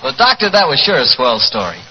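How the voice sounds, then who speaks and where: A man's voice: mid-range voice, wildly swooping pitch, a little fast, muffled recording, loud music behind the voice. A man with an American accent announcing in a polished 1940s radio style. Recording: band-limited old radio recording.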